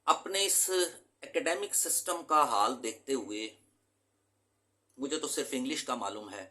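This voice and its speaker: A man's voice: medium pitch, lively intonation, unhurried, pushed and bright. A man from Pakistan, an English teacher, giving an earnest, emphatic lecture to his students and mixing English terms into his talk.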